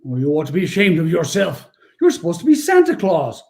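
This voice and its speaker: An actor playing a dignified, easily indignant old gentleman with a formal, scolding manner in a radio play. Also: a little high, wildly swooping pitch, brisk, gravelly, heavily acted. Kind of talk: 1940s-style radio play acting.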